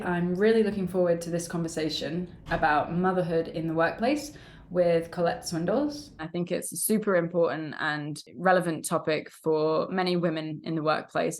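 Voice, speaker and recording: Low-voiced woman hosting a podcast. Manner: hedging, meandering sentences. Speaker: podcast host.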